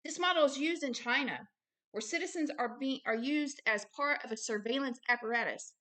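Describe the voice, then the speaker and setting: A woman's voice: sits high, a little fast, bright, forceful tone. A woman giving extemporaneous Bible teaching and commentary in short, insistent phrases, repeating key words for emphasis. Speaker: religious teacher and show host.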